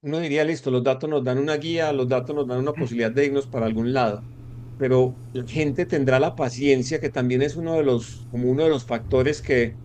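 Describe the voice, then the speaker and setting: A man from Colombia hosting a podcast, working through his question haltingly with lots of fillers and restarts. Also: medium pitch, fairly even intonation, darkish tone, a little hiss or hum. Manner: hesitant, many fillers and restarts. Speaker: podcast host. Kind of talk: interview question.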